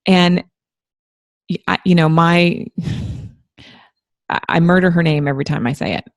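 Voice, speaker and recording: A woman hosts a podcast in a loose, rambling way, often poking fun at herself. Her voice sits low with a gravelly edge, and the recording is dry and close. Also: steady tone, a little slow, no room sound. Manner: chatty, rambling, self-deprecating. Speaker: podcast host. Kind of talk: casual podcast interview.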